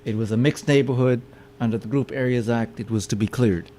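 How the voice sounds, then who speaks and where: A man's voice: medium pitch, brisk, dark tone. A man giving a public lecture with slides, often stopping to repeat his words as he explains. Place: meeting room with audience.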